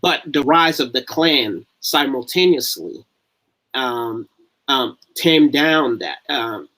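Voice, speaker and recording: A man on a panel giving scholarly explanation in halting, deliberate phrases. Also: mid-range voice, expressive, pushed and bright, poor audio. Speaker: panelist, academic speaker.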